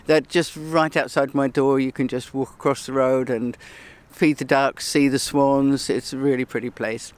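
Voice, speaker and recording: A woman's voice: a bass voice, steady tone, normal speed, hardly any pauses, a little mellow. A woman in her sixties from England with an English accent, chatting as an interview guest on an outdoor bench, laughing often and poking fun at herself. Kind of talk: casual chat.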